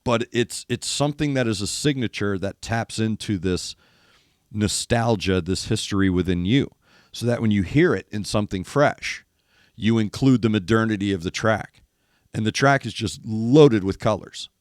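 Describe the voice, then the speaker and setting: An older man sits close to a dry, dead-sounding mic, his low voice gravelly and worn from losing it. He breaks down drum parts with emphatic, animated commentary, thumping out beats with his mouth. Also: normal speed, no echo. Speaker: host of a music reaction show. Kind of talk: casual music commentary. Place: studio in east Nashville.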